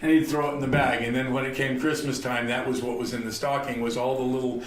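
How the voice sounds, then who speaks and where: A man's voice: medium pitch, flat delivery, quick pace. An older man explaining a science demonstration on a talk show, rambling and restarting his sentences, laughing easily. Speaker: science museum guest. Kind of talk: casual talk show demo. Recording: echoey room with loud background noise.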